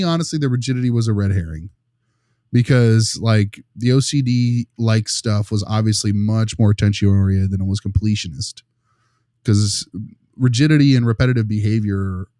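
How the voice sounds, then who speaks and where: Low-voiced man in casual, emphatic discussion. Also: no echo, clean studio sound. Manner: animated, emphatic, rhetorical check-ins like "right?". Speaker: panelist discussing a behavioral case study.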